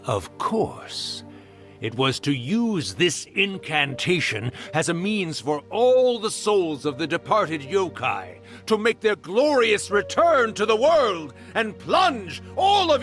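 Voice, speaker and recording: A mischievous yokai spirit from a video game, speaks in a theatrical, grandiose drawl. The voice is fairly high and swings wildly in pitch, slow and teasing, with music underneath. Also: male voice, wildly swooping pitch, a bit bright, exaggerated voice. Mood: gloating, menacing. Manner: grandiose, teasing, dramatic drawl with swooping emphasis. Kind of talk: scripted video game voice acting.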